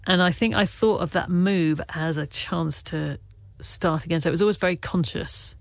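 A man from Wales being interviewed as an author, speaking with a stammer in halting, earnest, reflective stories full of restarts. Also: fairly high pitch, vocal fry, dry recording. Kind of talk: candid conversational interview.

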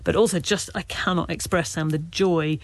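A man from Wales being interviewed as an author, speaking with a stammer in halting, earnest, reflective stories full of restarts. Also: a little high, steady tone, dry recording. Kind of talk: candid conversational interview.

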